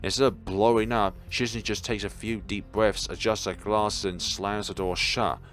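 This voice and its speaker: A man's voice: on the low side, steady tone, moderate pace, fairly bright tone, faint music behind. A man reading a visual novel aloud as a let's player, giving dramatic scripted narration with occasional stumbles and repeated words.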